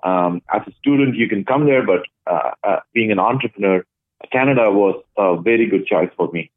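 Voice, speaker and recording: Guest from India, hesitant and thoughtful, thin phone-line sound, dry. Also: male voice, lowish voice, normal speed, dry recording. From Chennai, India. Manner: thoughtful, hesitant with frequent uhs. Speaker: guest, blockchain project lead. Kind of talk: interview answers.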